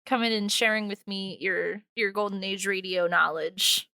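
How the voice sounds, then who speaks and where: A woman's voice: medium pitch, normal speed, sharp tone, no echo. A woman hosting a podcast in a playful, slangy, informal chat with some swearing. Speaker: podcast host.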